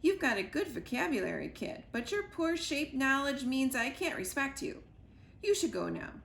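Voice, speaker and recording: A woman reading as a tough-talking little chick detective from a storybook, dropping her voice low and clipping each line. A gravelly edge sits under the voice, in an echoey room. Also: big pitch swings, moderate pace, a bit bright, a little hiss or hum, heavily acted. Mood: dismissive. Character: gruff, no-nonsense chick detective, female.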